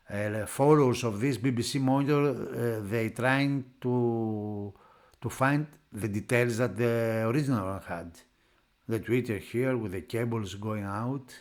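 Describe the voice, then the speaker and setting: A man who is not a native English speaker, narrating a product unboxing off the cuff in halting phrases. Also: medium pitch. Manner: halting, piecing phrases together. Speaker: product reviewer.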